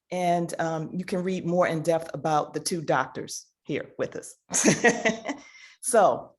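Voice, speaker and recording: A woman hosting an online panel discussion, setting out context slowly with many pauses and fillers over a video call. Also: medium pitch. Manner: many fillers and restarts, measured. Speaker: panel host and moderator. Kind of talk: hesitant conversational moderating.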